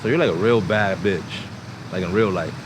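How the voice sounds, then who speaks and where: A man's voice: low voice, normal speed, noisy background. A man hosting a podcast, asking teasing questions in laid-back slang. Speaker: podcast host. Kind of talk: casual podcast interview.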